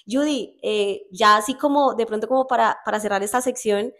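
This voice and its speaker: A woman's voice: fairly high pitch, normal speed, fairly bright tone. A woman with a Colombian accent hosting a podcast, asking questions in a friendly, encouraging way. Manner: friendly, encouraging, laughs along. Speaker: podcast host. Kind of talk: casual podcast interview.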